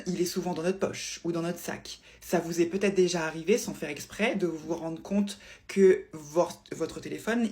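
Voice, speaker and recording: A woman giving a casual explainer to viewers, didactic and emphatic, often repeating and rephrasing her points. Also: on the low side. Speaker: presenter explaining phone interface design.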